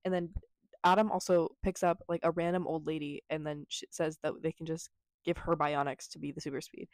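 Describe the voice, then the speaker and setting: Young woman vlogger, quick casual talker, stuffy nose, dry close sound. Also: low voice, level tone, no room sound. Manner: casual, chatty, many fillers, says "like" a lot. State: congested. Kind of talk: casual recap from memory. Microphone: camera mic.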